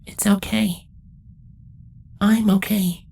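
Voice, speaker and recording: A man voicing a small, curious pony colt in a soft, childlike way. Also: sits high, level tone, takes it slowly, vocal fry, voice pushed up, heavily acted.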